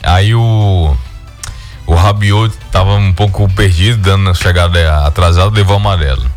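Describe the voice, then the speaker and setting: A Brazilian man hosting a sports radio show, chatting casually and with a lot of slang. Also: deep voice. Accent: Brazilian Portuguese. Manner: relaxed, slangy banter with frequent fillers.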